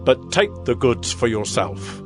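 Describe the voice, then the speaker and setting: A man's voice: medium pitch, sing-song, measured pace, over music. An actor playing a demanding king in a dramatized scripture reading. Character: king of Sodom, demanding male ruler.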